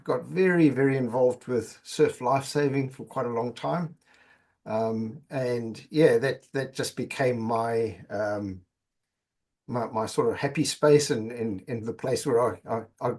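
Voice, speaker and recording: A man's voice: mid-range voice, darkish tone, breathy edge. A middle-aged man from Zimbabwe, a podcast guest chatting casually and often repeating words as he goes. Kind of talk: casual interview chat.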